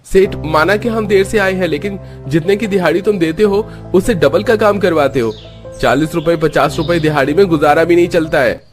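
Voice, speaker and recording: An actor playing a hot-tempered young village labourer in a cartoon story. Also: male voice, on the high side, fairly quick, faint music behind, close to an everyday voice. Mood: protesting.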